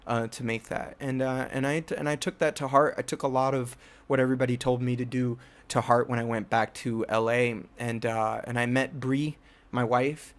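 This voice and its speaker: A man's voice: mid-range voice, steady tone, normal speed. A young man from New Jersey telling a rambling personal story about his filmmaking, with many fillers and restarts. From United States (New Jersey). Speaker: filmmaker and channel host.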